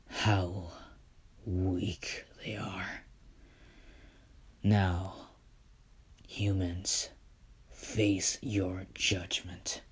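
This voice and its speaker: Brief: male storyteller as a vengeful fallen-angel youth, breathy low whisper, unhurried. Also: a bit bright, exaggerated voice. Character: vengeful fallen angel, young man, cruel.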